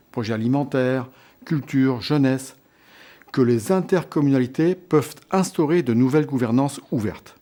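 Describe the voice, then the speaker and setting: A man reading a scripted instructional presentation in a measured, structured way, setting out points in short phrases. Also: mid-range voice, mellow. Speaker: lecturer presenting on local governance.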